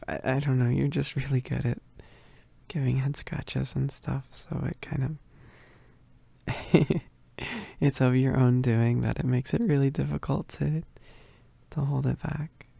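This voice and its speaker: A performer voicing a shy, needy, affectionate young werewolf roommate in a roleplay ASMR, with soft, breathy, halting, giggly speech that often drops to whispers. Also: medium pitch, flat delivery, exaggerated voice. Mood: embarrassed, tender. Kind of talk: roleplay ASMR, intimate one-sided dialogue.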